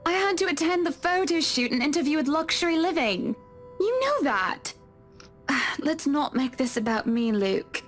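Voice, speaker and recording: A poised young-sounding wife in a visual novel, with a high voice. She is measured and sugary, placating yet gently reproachful, with faint music underneath. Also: very animated.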